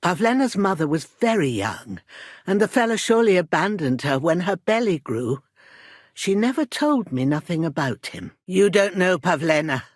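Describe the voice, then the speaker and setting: A woman's voice: on the low side, very animated, moderate pace, no echo, clean studio sound. An actress playing a worried old village herbwoman in a video game, protective and proud, with a plain-spoken rustic manner. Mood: earnest, plain. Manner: rustic, plain-spoken, folksy turns of phrase. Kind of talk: scripted video game character dialogue.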